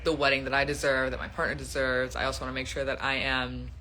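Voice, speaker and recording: Twenty-something woman on a phone live stream, bright sharp voice, chatty and laughy, answering fans. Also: very deep voice, little pitch movement, fast talker, a little hiss or hum. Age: 20s. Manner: chatty, laughs often, reads out viewer questions. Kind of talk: casual live stream chat.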